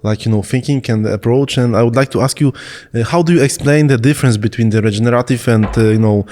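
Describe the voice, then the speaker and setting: Halting male host with roots, reverberant. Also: medium pitch, a little mellow, a little hiss or hum. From Poland. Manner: halting, heavy with uh and um fillers. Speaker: podcast host. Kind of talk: interview questions.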